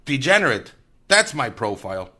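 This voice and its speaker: A man's voice: mid-range voice, big pitch swings, moderate pace, pushed and bright, breathy edge, heavily acted. A man playing a blustery, emphatic FBI special agent in a radio mystery.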